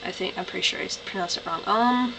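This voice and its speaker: A woman's voice: mid-range voice, quick pace, creaky. A tired young woman with a Canadian accent, rambling through an accent-tag vlog with lots of "like" and "um". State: tired, voice lower than usual. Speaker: vlogger. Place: bedroom. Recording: noisy home webcam recording.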